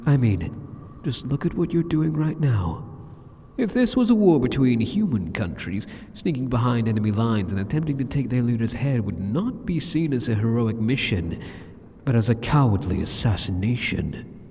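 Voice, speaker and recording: A man's voice: mid-range voice, big pitch swings, moderate pace, mellow, slightly rough. A man playing an arrogant, seductive, sadistic demon king in an ASMR roleplay, theatrical, taunting and condescending. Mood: wry, reasoning. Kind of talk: ASMR roleplay, scripted monologue. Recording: long cavernous reverb.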